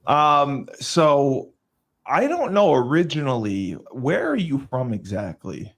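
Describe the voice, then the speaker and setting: A man's voice: medium pitch, sing-song, takes it slowly. A man hosting a casual sports podcast, joking and boasting about himself, then laughing at his own coaching. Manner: jokey, boastful, self-deprecating. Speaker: podcast host.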